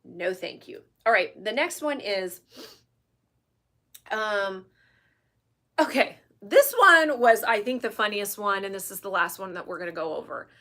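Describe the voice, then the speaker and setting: A woman's voice: mid-range voice, lively intonation, unhurried, pauses often, a bit bright. A woman from the United States hosting her channel, giving emphatic opinion commentary to camera and often checking in with tag questions. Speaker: channel host.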